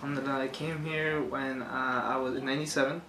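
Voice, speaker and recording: A young man from Chile, speaking as an interview guest in a soft, hesitant way, with many fillers, in a room lined with bookshelves. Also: mid-range voice, fairly even intonation, quick pace, music in the background. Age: young adult. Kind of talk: conversational testimony. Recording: echoey room, faint hiss.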